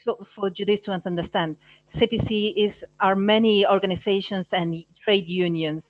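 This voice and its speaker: Dull dry audio, halting woman interpreter. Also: mid-range voice, steady tone, no room sound. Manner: halting, phrase by phrase, self-correcting. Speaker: live interpreter voicing panelists. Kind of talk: live simultaneous interpretation of a panel discussion.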